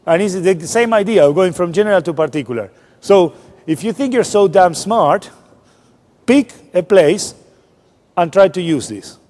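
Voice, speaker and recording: A man's voice: sits high, animated, normal speed, darkish tone. A man giving a public science lecture, explaining informally and often restarting his sentences. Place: large lecture hall. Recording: long hall reverb.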